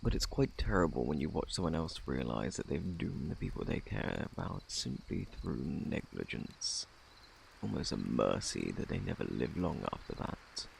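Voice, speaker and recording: A man with a British accent playing a polite, evasive, faintly sinister plague doctor in an ASMR roleplay, speaking softly in a formal, fussy, self-assured way with a gravelly, partly whispered voice. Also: a bass voice, big pitch swings, moderate pace, a little hiss or hum, heavily acted. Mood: grave, ominous. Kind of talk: ASMR roleplay, soft close speech.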